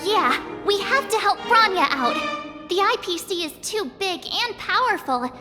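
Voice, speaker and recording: A loyal, spirited teenage girl adventurer in a video game. Her voice is extremely high and she talks in an informal, outspoken way. It sits in long reverb over clear background music. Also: lively intonation, unhurried, bright, forceful tone, heavily acted. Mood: concerned. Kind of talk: video game cutscene voice acting.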